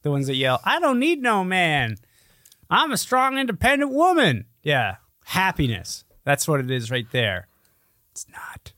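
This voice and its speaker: A man's voice: a little high, wildly swooping pitch, measured pace, bright, forceful tone, no room sound, clean studio sound. A man hosting a podcast in casual comedic banter, with a sarcastic, teasing, sweary way of talking. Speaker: podcast host.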